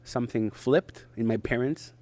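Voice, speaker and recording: A middle-aged man from Israel, a native Hebrew speaker, talking in English as an interview guest in short, halting phrases with frequent restarts. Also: medium pitch, mellow. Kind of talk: conversational interview.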